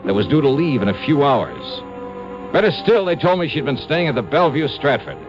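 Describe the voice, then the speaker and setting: Dry, wry radio detective, played by a man; low, lively delivery; muffled vintage broadcast, music under. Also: lightly acted. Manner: dry, wry narration and brisk talk. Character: wry tough male insurance investigator. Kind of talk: 1950s radio drama acting. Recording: dull old radio broadcast with faint music.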